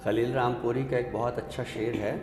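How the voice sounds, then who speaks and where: A man with a medium-pitched voice discusses literature as an expert guest. He explains in a measured, scholarly manner, pausing to find words. The room echoes and there is noise behind him. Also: steady tone.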